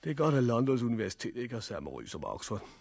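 An actor playing a calm, pragmatic young survivor in a 1960s radio drama, measured and understated, with a husky voice. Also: male voice, mid-range voice, no room sound, exaggerated voice. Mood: calm, practical. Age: 20s. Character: pragmatic, calm man of twenty-nine surviving a catastrophe. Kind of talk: 1960s radio drama acting and first-person narration.

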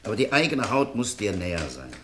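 An actor playing an insistent, impatient friend with a gravelly, husky voice in a German radio crime drama. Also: male voice, fairly low pitch, very animated, brisk, background noise. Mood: resigned, pressing. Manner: urgent, admonishing, commanding. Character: insistent, impatient male friend urging caution.

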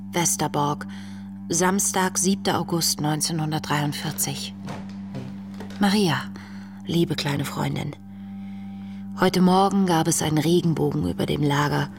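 A woman playing a compassionate young camp inmate reading out her letters, measured and reflective, in a radio play. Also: sits low, fairly even intonation, gravelly, music in the background. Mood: reflective, tender. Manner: reflective, measured, sometimes hushed. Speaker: lead actress, letter reader. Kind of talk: intimate literary letter narration in a radio play.

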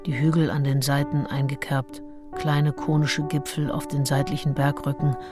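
Actress as woman diarist, flat intonation, terse notes, matter-of-fact, radio play, plucked strings behind. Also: low-pitched, normal speed, over music, close to an everyday voice. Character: observant English woman traveller writing her terse diary. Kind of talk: radio play diary reading.

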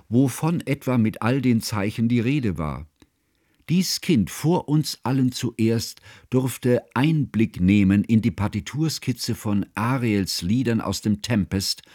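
Earnest scholarly narrator guy in a radio drama, lowish, very formal and careful, no room sound. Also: a little mellow, no room sound, clean studio sound. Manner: measured, formal, ornate phrasing. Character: devoted, learned male friend recalling events, formal and tender. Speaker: first-person narrator. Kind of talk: literary narration in a German radio play.

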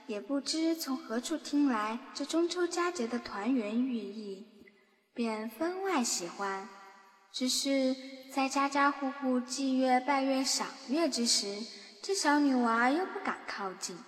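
A woman narrating a scripted audio drama as storyteller, recorded with reverb. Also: a little high. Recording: echoey reverb under music.

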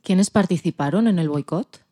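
A woman interviewer on a podcast, putting scripted questions to a guest. Her voice sits a little low and has a dark, relaxed tone. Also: normal speed.

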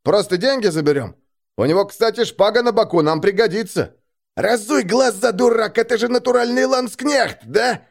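Audiobook role: crude young male thug man performing, high-pitched, jeering, loud and greedy. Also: normal speed. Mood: greedy, excited. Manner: loud, coarse.

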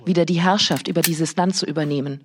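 A woman's voice: deep voice, fairly even intonation, fast, close to an everyday voice. Woman playing an earnest, pathos-laden TV reporter. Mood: solemn, dramatic. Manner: solemn, rhetorical. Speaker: television reporter. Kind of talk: radio drama acting, on-camera reportage.

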